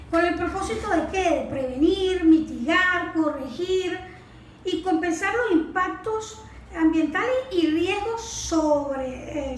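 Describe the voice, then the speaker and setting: A Venezuelan woman speaking as an expert guest, explaining didactically with rhetorical questions and check words. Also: high voice. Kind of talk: explanatory expert interview talk. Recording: echoey room, distant mic, faint noise.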